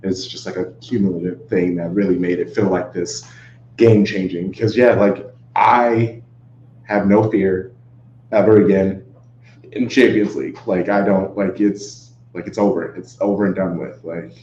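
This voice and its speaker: A man chatting casually as a sports fan podcast guest, often halting and rephrasing himself. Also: sits low, fairly even intonation, warm tone. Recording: roomy, dull audio with clear hum.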